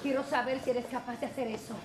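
A woman's voice: sits high, steady tone, fast talker, very noisy, close to an everyday voice. A woman playing a jealous, scheming telenovela wife, indignant and melodramatic, in a parody soap opera. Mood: outraged. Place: large TV studio.

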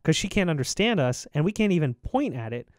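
A man in his thirties, a podcast host, telling animated stories over a video call with lots of filler words. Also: on the high side, fairly quick, dry recording, clean studio sound. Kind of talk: casual chat, storytelling. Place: home room, indoors. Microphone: desk mic on video call, headphones.

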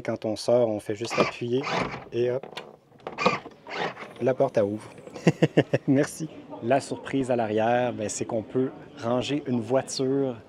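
A man's voice: medium pitch, background noise. A man with a Québécois French accent casually narrating a walkthrough of campers at an indoor RV show, chatty and descriptive, with frequent hesitations. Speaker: RV vlogger touring a trade show.

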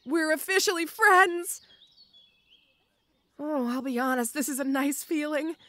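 Audio roleplay: woman as a strong but gentle orc schoolgirl, high voice, stammering and shy, very dry recording. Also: no room sound. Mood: hopeful, bashful. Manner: hesitant, stammering, bashful.

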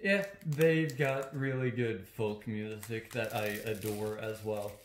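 A young man, chatting casually in a halting, deliberate way. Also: fairly low pitch, fairly even intonation, slight background noise. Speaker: co-host answering and asking questions. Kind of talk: casual chat. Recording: roomy, echoing sound.

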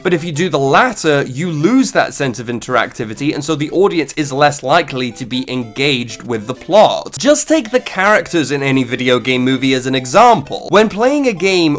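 Young guy doing a scripted review video, kinda high voice, emphatic, bit of music underneath. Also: a bit bright. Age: young adult. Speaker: video essay host, film reviewer. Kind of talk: scripted video essay narration.

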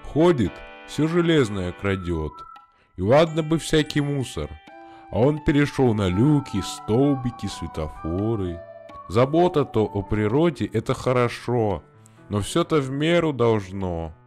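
A talkative grown-up cartoon neighbor who drifts into folksy musing, voiced by a man. His pitch sits at an everyday middle, with faint music underneath. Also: very animated, unhurried, a little mellow. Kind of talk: amateur cartoon voice acting.

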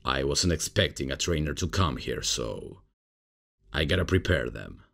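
A man playing a laid-back, bumbling substitute professor in a comedic ASMR roleplay, casual and hesitant, with a deep, gravelly, husky voice. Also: lively intonation, moderate pace, a bit bright, heavily acted. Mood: sheepish, amused. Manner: casual, hesitant, chuckling, unprofessional. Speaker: roleplay audio performer.

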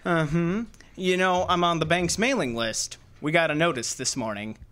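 An actor playing a sardonic, slangy, exasperated assistant to a detective in an old-time radio drama. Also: male voice, on the high side, moderate pace, fairly bright tone, lightly acted.

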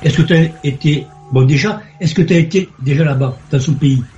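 A man on a talk radio show asking polite, halting questions. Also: a little high, a little mellow, muffled recording.

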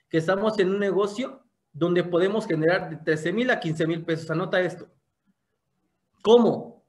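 A young man with a fairly high voice tells his own story to an audience. He speaks over a webcam from a room at home and keeps asking his listeners rhetorical questions. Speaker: motivational speaker giving a business testimony. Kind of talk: motivational testimony talk. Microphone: webcam on a video call.

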